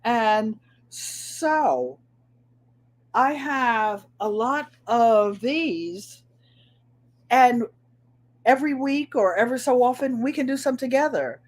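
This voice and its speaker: A middle-aged woman with a nasal New England twang hosts a live crafting chat. She talks in an easy, unhurried way, her voice sitting a little high, and calls out to viewers by name between demonstrations. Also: expressive. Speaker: craft livestream host.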